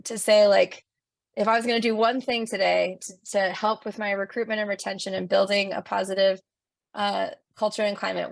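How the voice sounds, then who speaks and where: A woman's voice: mid-range voice, gravelly, no room sound. A woman facilitating an online workshop over a video call, upbeat and speaking with frequent um fillers. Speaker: webinar facilitator.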